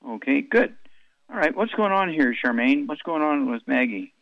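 A man hosting a radio health call-in show, telling rambling stories and firing brisk questions at callers. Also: on the high side, expressive, vocal fry, dry recording, phone-quality sound.

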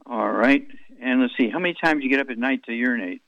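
Man hosting a radio call-in, rambling, brisk questions, dry and slightly dull sound. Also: mid-range voice, no room sound. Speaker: radio show host. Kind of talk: radio call-in health advice.

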